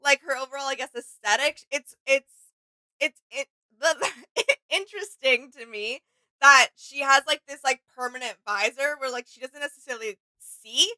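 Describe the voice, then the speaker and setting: A woman's voice: sits high, normal speed, sharp tone, no room sound, low-quality recording. A woman co-hosting a reaction video in casual, conversational chat.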